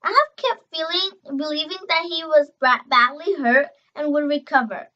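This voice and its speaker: A young girl reading a children's novel aloud, expressive and emphatic on dialogue, stumbling over words now and then. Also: high voice, normal speed, dull audio. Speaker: reader.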